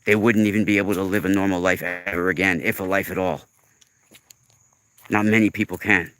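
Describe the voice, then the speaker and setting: A man's voice: low voice, fairly even intonation, a little fast, a bit bright, a little hiss or hum. A man in his forties hosting a casual livestream monologue, talking in an earnest, emphatic, rambling way. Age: 40s. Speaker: livestream host.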